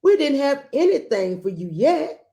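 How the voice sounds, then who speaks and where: Slow, mellow woman reading a picture book. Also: high voice, sing-song. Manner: gentle, unhurried, adding commentary to listeners. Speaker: children's book read-aloud host. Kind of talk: expressive picture-book read-aloud with brief asides.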